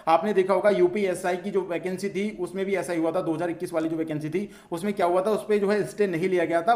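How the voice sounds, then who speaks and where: A man explains an update to students as a channel host, repeating his points as he goes. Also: high-pitched. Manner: repetitive, question-and-answer explaining.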